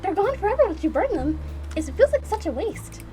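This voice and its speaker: A woman's voice: extremely high pitch, very animated, fairly quick, mellow, voice pushed up, poor audio. A woman voicing an earnest, polite, worried young girl in a visual novel, with a hesitant, pleading way of talking.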